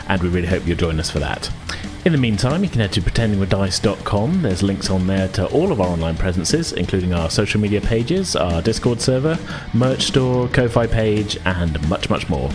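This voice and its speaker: Male game master, deep register, scripted sci-fi narration with light music underneath.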